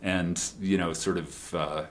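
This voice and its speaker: A man's voice: sits low, fairly even intonation, slow. A man talking casually as a band musician being interviewed live in a radio studio.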